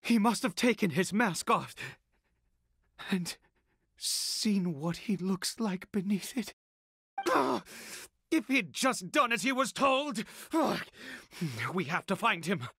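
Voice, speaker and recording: A man's voice: high voice, expressive, vocal fry, sharp tone, slightly rough, heavily acted. An anguished, wildly swooping fanatical father. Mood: worried, dismayed. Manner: halting, dramatic, repeats words with frantic urgency. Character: anguished fanatical father searching desperately for his son. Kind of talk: video game voice acting.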